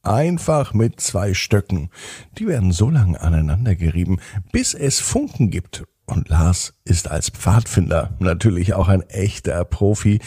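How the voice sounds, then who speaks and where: Male narrator for kids' bedtime tales: dark, mellow tone, slow and gentle delivery with dramatic pauses. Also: fairly low pitch, vocal fry, husky, no echo, clean studio sound. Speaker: children's bedtime podcast storyteller. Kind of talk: bedtime story narration for children.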